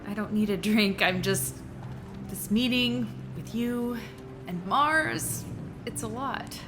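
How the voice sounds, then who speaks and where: A woman's voice: on the high side, slow pace, music in the background. A woman playing a conflicted, tired neuroscientist mother in a naturalistic audio drama, speaking in a hesitant, conversational way. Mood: nervous. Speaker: voice actor in a musical audio drama.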